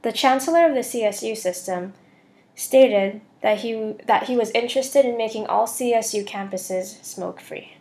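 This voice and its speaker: A woman's voice: on the high side, normal speed, a little mellow. A young woman student reading a scripted voice-over for a slide presentation. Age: young adult.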